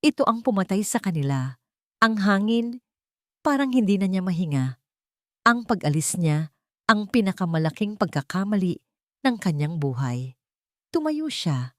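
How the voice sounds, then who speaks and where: A woman's voice: medium pitch, very animated, normal speed, long pauses, no echo, studio quality. A woman narrator reading a romantic story as dramatic scripted narration.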